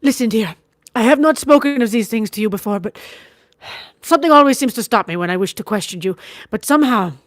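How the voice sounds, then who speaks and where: Give me a woman playing a gentle young nobleman, calm and earnest. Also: a little high, fairly quick, dry recording, clean studio sound, lightly acted. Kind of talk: audiobook character reading.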